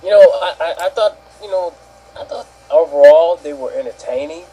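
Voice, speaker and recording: A man's voice: fairly high pitch, sing-song, normal speed, creaky, mellow, husky, rough recording. A man giving his opinions as a panelist in casual, colloquial talk, often quoting his own reactions. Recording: background ambient noise.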